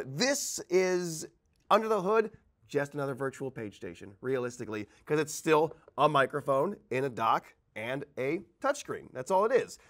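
A man's voice: fairly high pitch, a bit bright. A man in his thirties giving an informal, conversational video tutorial as a training instructor, explaining things on a lapel mic in a studio. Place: indoor presentation studio at a desk.

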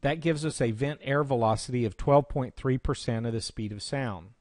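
Man narrating a dry, close software tutorial. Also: mid-range voice, moderate pace, no echo. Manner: clear step-by-step explaining. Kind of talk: instructional screencast narration.

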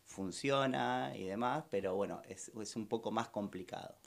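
A man's voice: on the low side, moderate pace, creaky. A man in his thirties from Argentina with an Argentine Spanish accent, a bar owner answering interview questions in a radio studio, with many fillers and restarts. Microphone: studio microphone with headphones.

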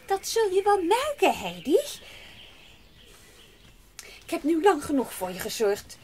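Curt impatient auntie in a kids radio play, high lively voice, birds and outdoor noise. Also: female voice, a little slow, heavily acted. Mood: brusque, impatient. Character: brusque, impatient aunt minding her orphaned niece. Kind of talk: children's radio play acting. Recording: clear outdoor ambience with birdsong.

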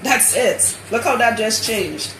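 A woman's voice: fairly high pitch, takes it slowly, reverberant, soft background music, low-quality recording. A woman with a Trinidadian accent, chatting boisterously on a live stream while working as a loctician in a hair salon. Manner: boisterous, animated, laughing. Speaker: loctician host.

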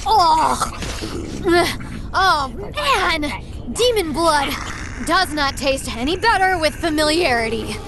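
Very high-pitched cocky demon slayer. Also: female voice, expressive, slow, full character voice. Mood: cocky, breezy. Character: cocky young female demon-slayer livestreamer, reckless and driven. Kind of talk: anime-style action horror audio drama. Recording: loud battle and engine noise behind.

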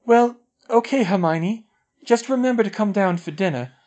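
A man's voice: sits high, moderate pace, darkish tone, dull audio, pitched above the performer's own voice, close to an everyday voice. A man voicing a caring teenage schoolgirl talking through a door in an audiobook reading. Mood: gentle.

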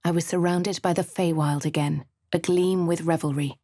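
A woman's voice: on the low side, steady tone, normal speed, warm tone, no echo, clean studio sound, natural voice. A woman voicing a posh adventurer. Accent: posh English. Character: posh refined female adventurer, composed. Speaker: player character voice lines. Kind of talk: game voice lines and narration.

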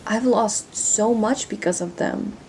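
A woman's voice: medium pitch, slow pace, creaky, a little mellow, slight background noise, rough recording. A woman playing a proud, hurt female pirate captain in a dramatic audio roleplay, guarded and intense. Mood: angry, hurt.

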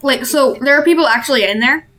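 A teenage girl streaming a social VR game, chatting casually and reacting excitedly. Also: high voice, quick pace. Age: teens. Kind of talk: casual gaming chat.

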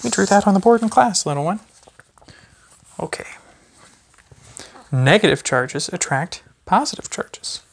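A man in his thirties, a teacher, reading a children's book aloud with gentle, chatty asides to a baby. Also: high-pitched, expressive, measured pace, creaky, pauses often. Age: 30s. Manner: gentle parent talking to a baby. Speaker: physics teacher. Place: small carpeted or wood-floored room at home, indoors. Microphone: phone camera a few feet away.

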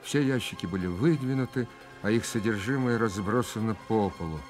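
A man's voice: fairly low pitch, normal speed, music in the background, lightly acted. A man narrating a radio drama in a scripted, storytelling style.

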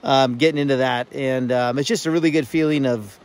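A man's voice: medium pitch, steady tone, a little fast. A man filming himself fly fishing outdoors by a river, talking casually with many fillers and restarts.

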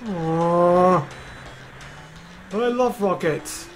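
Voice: medium pitch, very slow, soft background music, voice pushed up. A performer voicing an earnest, bookish, slightly put-upon boy in a video game dub. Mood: protesting.

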